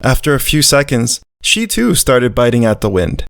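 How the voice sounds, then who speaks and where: An actor voicing a sweet, naive, excitable male pit bull who talks and narrates in a visual novel. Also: mid-range voice, moderate pace, gravelly. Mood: gentle narrating. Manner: eager, chirpy, childlike wonder. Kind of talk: character dialogue and first-person narration in a visual novel.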